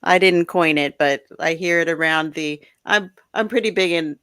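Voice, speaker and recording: Middle-aged woman guest, lowish voice, laughs a lot, dry close sound. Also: normal speed, fairly bright tone, clear, resonant tone, dry recording. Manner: laughs often, easygoing. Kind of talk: casual chat.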